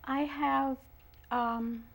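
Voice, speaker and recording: A woman talking casually as an interview guest, often pausing mid-phrase. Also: high voice, steady tone, creaky. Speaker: guest, writer and composer.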